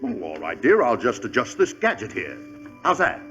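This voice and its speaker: An actor playing an excitable, easily exasperated middle-aged American father in a 1940s radio sitcom. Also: mid-range voice, normal speed, soft background music. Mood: eager, fussy. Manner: blustery, exclamatory. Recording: muffled noisy old radio recording.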